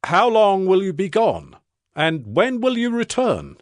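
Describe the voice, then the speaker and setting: A man's voice: high-pitched, huge pitch swings, a little slow, no echo, studio quality, full character voice. A man playing an imperious ancient king in a dramatized audio Bible, regal and theatrical as he probes his servant. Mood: imperious, inquiring.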